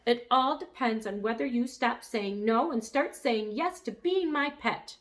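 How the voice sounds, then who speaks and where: A woman voicing a bossy, snippy young girl with a squeaky voice in a storybook reading. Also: unhurried, a bit bright. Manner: high, shrill and demanding.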